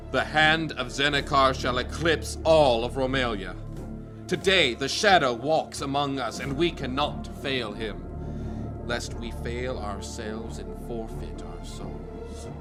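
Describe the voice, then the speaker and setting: Casting: male actor for zealous dark priest, fantasy audio drama, unhurried measured orator, middle register, prominent music underneath. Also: mid-range voice, natural voice.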